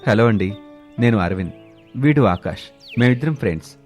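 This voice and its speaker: A man's voice: on the low side, expressive, normal speed, darkish tone, natural voice. A man voicing a courteous, confident young aspiring actor in a cartoon story. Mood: friendly. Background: background music.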